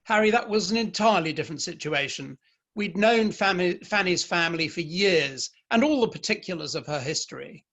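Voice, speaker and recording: A proud, stern Victorian father and man of letters, acted by a man in a play reading. His voice is notably high for a man. He speaks formally and emphatically, with firm opinions. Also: animated, brisk, fairly bright tone, exaggerated voice. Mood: stern, indignant.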